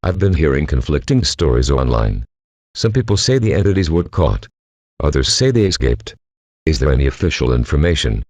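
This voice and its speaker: A man as a very deep-voiced puzzled caller. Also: very animated, fairly quick, darkish tone, dry recording, full character voice. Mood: puzzled, curious. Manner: questioning. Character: curious, puzzled male citizen calling the police. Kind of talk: scripted phone call drama.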